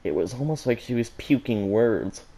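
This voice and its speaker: A man reading fan fiction aloud as an amateur, halting and stumbling over words, with joking asides. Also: mid-range voice, lively intonation, mellow. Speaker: reader of fan fiction for an online audience.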